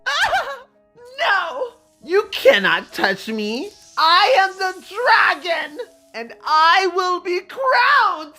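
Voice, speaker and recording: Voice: extremely high pitch, big pitch swings, very slow, bright, forceful tone, soft background music, voice pushed up, exaggerated voice, rough recording. A narrator voicing an arrogant, unhinged young prince with theatrical, sneering shrieks in a dramatic fantasy audiobook. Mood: frantic, defiant.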